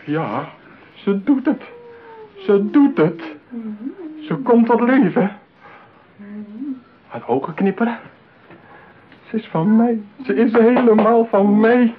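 A man's voice: high-pitched, animated, slow, long pauses. An actor in a radio play playing a lonely, possessive man besotted with his mechanical bride, talking theatrically. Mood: ecstatic. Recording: tinny narrow-band radio play, clear hiss.

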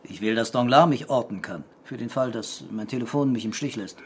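An actor playing a calm, stubborn, laconic police detective in a radio crime drama, speaking tersely and with understatement. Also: male voice, mid-range voice, brisk, husky, natural voice.

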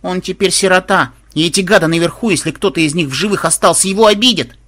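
A man voicing an excitable young girl who squeals, gushes and fires off eager exclamations. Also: fast talker. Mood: indignant pleading. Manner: shrill exclamations, eager chattering questions.

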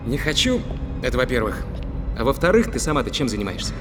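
A man's voice: mid-range voice, big pitch swings, quick pace, natural voice. An actor playing an irritable, curt spaceship crewman in an audio drama, grumbling at his colleague. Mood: irritated. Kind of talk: Russian audio drama acting. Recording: clear noise, vehicle-like ambience.

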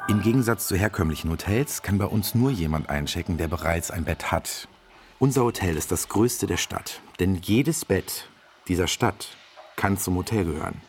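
A man's voice: low voice, expressive, moderate pace, faint music behind. A man playing a courteous hotel page who formally narrates a radio play as a guide for guests. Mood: polite welcome.

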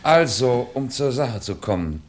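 An actor playing a composed, courteous police inspector who questions suspects formally and insistently in a radio crime drama. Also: male voice, mid-range voice, big pitch swings, measured pace, background noise. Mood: courteous, controlled.

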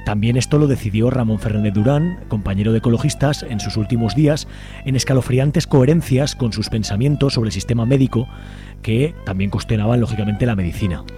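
A man's voice: medium pitch, a little fast, mellow, faint music behind. A man with a Spanish accent from Spain co-hosting a radio show in a scripted presenting style. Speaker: radio co-host.

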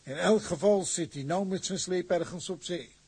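An actor playing an orderly, deferential office clerk with a husky voice in a period drama. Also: male voice, high voice, normal speed, darkish tone. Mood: dutiful.

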